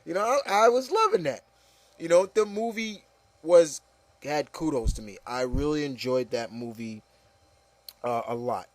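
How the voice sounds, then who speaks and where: A man's voice: a little high, lively intonation, measured pace, no echo. A man hosting a casual panel chat about movies, talking in a rambling, enthusiastic way and often saying "you know". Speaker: host of an opinion show.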